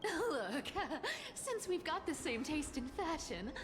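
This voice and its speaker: High, swooping, mischievous cat-girl thief. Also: huge pitch swings, slow, noisy background, full character voice. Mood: wheedling, playful. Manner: teasing, mocking, theatrical with giggly bursts. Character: playful, taunting, sly female cat thief on the run. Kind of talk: video game voice acting. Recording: game music behind the voice.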